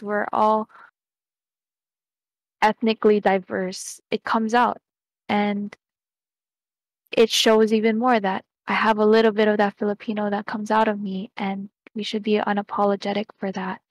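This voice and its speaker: Young woman, level and even intonation, dry close sound, earnest podcast guest on a headset. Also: medium pitch, dry recording. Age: young adult. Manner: earnest, measured, thoughtful. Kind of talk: casual conversation. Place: small home room.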